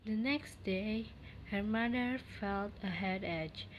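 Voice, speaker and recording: A woman's voice: mid-range voice, slow pace, dull audio, heavy background noise. A woman reading scripted narration for a story.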